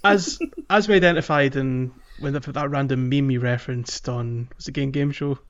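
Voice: mid-range voice, moderate pace. A podcast co-host chatting casually and conversationally with the others. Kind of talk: casual chat. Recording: dull, lo-fi microphone sound.

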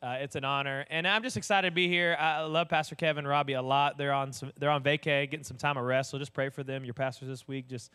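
A young man, an associate pastor with a booming voice, telling stories in a casual, animated sermon. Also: quick pace, hardly any pauses, clean tone. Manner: animated storyteller, acts out voices.

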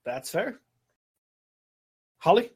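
A man hosting a casual board game review podcast, speaking haltingly and often repeating his words as he goes. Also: sits high, very animated, a little mellow. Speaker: podcast host.

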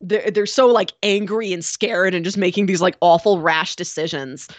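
A woman's voice: on the low side, normal speed, no room sound. A woman co-hosting a podcast, talking through a film casually and conversationally, with many filler likes. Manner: conversational, peppered with "like". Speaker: podcast co-host.

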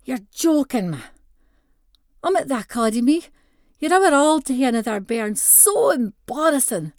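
A woman's voice: high voice, animated, moderate pace, a little mellow, dry recording, voice pushed up. Storybook teenage boy read by a woman: North-East Scottish, embarrassed and indignant, close dry recording. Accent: North-East Scottish (Doric). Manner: indignant, incredulous.

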